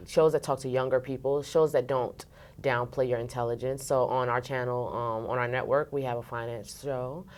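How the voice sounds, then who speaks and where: A woman's voice: a bass voice, steady tone, moderate pace, a little mellow. A woman chatting casually and expressively as an interview guest, with slangy turns of phrase. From Brooklyn, New York. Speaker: interview guest, radio host.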